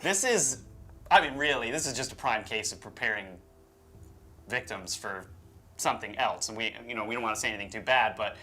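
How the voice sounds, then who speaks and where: A man's voice: medium pitch, lively intonation, fairly quick, pushed and bright, a little hiss or hum. A man co-hosting a show, mixing scripted narration with informal, incredulous asides. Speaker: show host. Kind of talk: conversational co-hosted scripted narration with casual asides.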